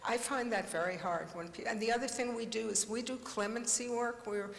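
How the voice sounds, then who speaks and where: A woman's voice: on the high side, brisk, creaky. Passionate woman in her seventies, echoey hall. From United States. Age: 70s. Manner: passionate, blunt, with laughs and asides. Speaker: panelist. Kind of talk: conference panel talk. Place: large conference hall. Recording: echoey hall with long reverb.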